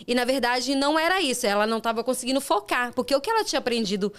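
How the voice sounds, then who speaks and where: A woman's voice: high voice, expressive, rapid-fire, fairly bright tone, smooth. A middle-aged Brazilian woman with a Brazilian Portuguese accent, hosting a podcast and telling a personal story earnestly, with frequent restarts. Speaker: podcast host. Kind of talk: casual interview chat.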